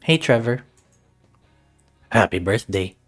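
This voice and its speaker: A man's voice: on the low side, lively intonation, slow pace, faint music behind. Teenage male athlete read by a man, friendly, wry, casually teasing, visual novel dialogue.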